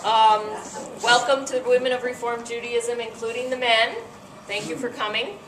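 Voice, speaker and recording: A middle-aged American woman with a loud voice, hosting an event and giving an informal, chatty talk to a gathering of guests. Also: fairly high pitch, fairly even intonation, normal speed, a little hiss or hum. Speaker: event host and board director. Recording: echoey room from far off, faint music.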